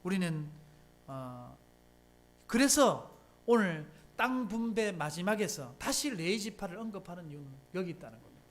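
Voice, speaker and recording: A pastor delivers a Sunday sermon in a church. The pitch rises and falls a great deal, and the delivery keeps throwing rhetorical questions at the congregation and answering them. The tone stays conversational and emphatic throughout. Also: animated, normal speed. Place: church sanctuary.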